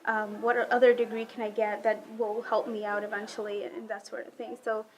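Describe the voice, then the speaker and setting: A young woman in an interview, reflecting calmly on how a youth jobs program changed her life. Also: mid-range voice, a little fast, poor audio.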